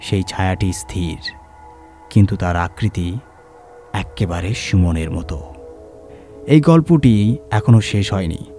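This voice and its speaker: A man narrating a scripted horror story in a measured, suspenseful, dramatic storytelling manner. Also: sits low, mellow. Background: music.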